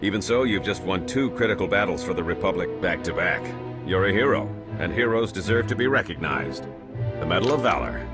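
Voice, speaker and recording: An actor voicing a male military colonel giving briefings and brisk orders in a game. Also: low voice, animated. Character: commanding male Republic colonel. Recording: game audio with music.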